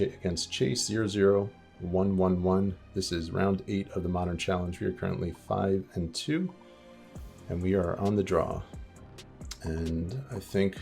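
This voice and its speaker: A man giving casual live commentary as he streams a card game, reasoning aloud deliberately in a slightly scratchy, worn voice. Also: low voice, vocal fry, a little mellow. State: voice a little worn, starting to go.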